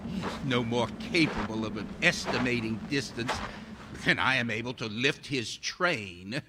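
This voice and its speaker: An actor playing an arrogant, irritable scientist in a radio drama, with formal, pompous, melodramatic diction. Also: male voice, medium pitch, expressive, a little slow, background noise, natural voice. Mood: bitter. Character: arrogant, irritable male scientist, secretive and obsessed. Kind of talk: theatrical radio drama acting, journal narration.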